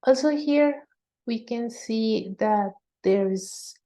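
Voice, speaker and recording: A woman researcher giving an explanatory scientific talk in a halting manner. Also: on the high side, warm tone. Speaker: researcher presenting at a conference.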